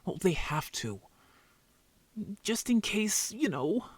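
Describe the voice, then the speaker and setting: A man playing an injured young werewolf, a defensive, easily embarrassed brother who talks casually and haltingly in an audio drama roleplay. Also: sits high, sing-song, slightly rough, no echo, exaggerated voice. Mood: earnest, defensive.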